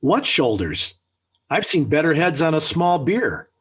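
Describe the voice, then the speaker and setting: An actor playing a sarcastic, hot-tempered middle-aged American father in a 1940s radio sitcom, with a slightly rough voice. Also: a little high, big pitch swings, unhurried, heavily acted. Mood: indignant, sarcastic. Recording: old 1940s radio recording.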